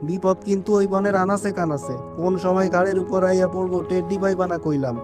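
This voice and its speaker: A man's voice: high-pitched, steady tone, quick pace, over music, lightly acted. A man voicing a timid, worried village fisherman in a cartoon horror story, pleading in a rural Bangladeshi accent. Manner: pleading, colloquial.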